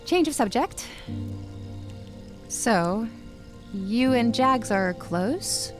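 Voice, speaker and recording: A woman's voice: on the high side, lively intonation, very slow. An actress playing an inquisitive, candid young woman in an audio drama, with a direct, probing way of talking. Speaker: actor. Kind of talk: naturalistic audio drama dialogue. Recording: music playing behind the voice.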